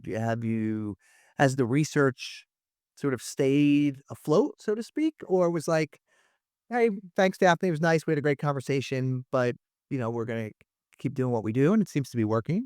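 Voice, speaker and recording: A man's voice: medium pitch, a little mellow, no room sound. A man with a mid-range voice, recorded dry and close. He interviews informally as a podcast host, circling a question and rewording it as he goes. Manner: informal, rephrases questions several times over. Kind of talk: conversational interviewing.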